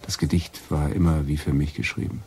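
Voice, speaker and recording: An actor playing a charming, melancholic middle-aged lover in a radio drama, flattering and teasing in a theatrical way. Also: male voice, rumbling low, fairly quick. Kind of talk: radio drama acting, intimate dialogue.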